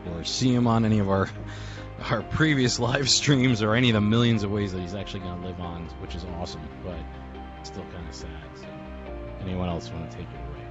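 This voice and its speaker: A man's voice: deep voice, fairly even intonation, brisk. A man hosting a casual group call, speaking in an informal, rambling and hesitant way as he reminisces. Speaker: host of a memorial group call. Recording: dull lo-fi audio with music behind. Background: music.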